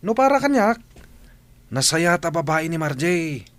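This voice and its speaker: A man playing a frank, preachy buddy in a radio drama, with an animated, admonishing manner. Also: fairly high pitch, unhurried. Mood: teasing, admonishing. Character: frank, preachy male buddy giving moral advice.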